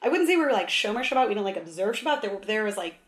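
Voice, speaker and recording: A woman's voice: mid-range voice, big pitch swings, rapid-fire. A young woman from Southern California, chatting playfully as an interview guest about her life. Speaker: guest, Hillel director of engagement. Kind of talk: casual interview. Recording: echoey room.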